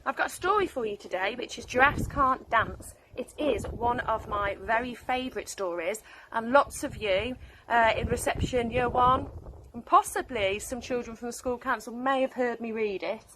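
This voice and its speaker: A woman's voice: on the high side, normal speed. A woman, a primary school teacher, reading a children's story expressively. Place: outdoors in a garden. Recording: outdoor recording with faint background noise.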